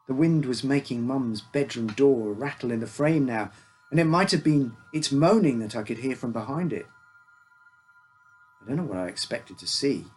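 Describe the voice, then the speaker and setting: A man narrates an uncanny story in the first person. His voice sits at a medium pitch and has a husky edge, with faint music in the recording. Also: darkish tone. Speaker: author reading his own story.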